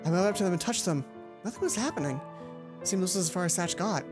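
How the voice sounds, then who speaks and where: Lady doing a let's play, kinda low voice, reading the story n chatting playfully, music playing behind her. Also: expressive, fast. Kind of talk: reading a visual novel aloud with casual commentary.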